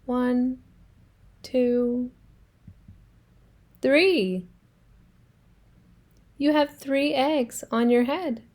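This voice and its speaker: A woman voicing a calm, matter-of-fact female pig in a children's storybook read-aloud, answering patiently. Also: sits high, extremely slow, pauses often.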